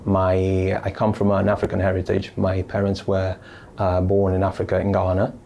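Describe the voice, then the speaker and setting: Deep, mellow-voiced man from Italy. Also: completely flat delivery, gravelly, a little hiss or hum. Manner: thoughtful, halting, many restarts and fillers. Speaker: podcast guest. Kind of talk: casual interview storytelling.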